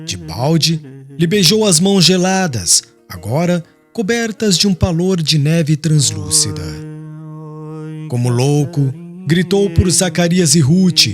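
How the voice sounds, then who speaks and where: A man reads dramatic audiobook narration in a solemn, literary style. His delivery is slow and mournful, with big swings in pitch. Music is clearly audible beneath his voice. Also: very animated.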